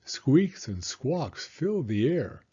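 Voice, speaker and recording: A man reading a children's storybook aloud in an expressive, deliberate storytelling manner. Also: mid-range voice, slightly rough, muffled recording. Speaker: storybook reader. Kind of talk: children's read-aloud narration.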